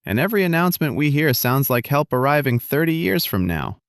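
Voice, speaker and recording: A man voicing a tired small-town man who speaks quietly and glumly, in an audiobook reading. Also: fairly high pitch, expressive, a little fast, no room sound, clean studio sound, pitched above the performer's own voice. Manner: quiet, glum. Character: weary small-town man bringing bad news. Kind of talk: audiobook character voice.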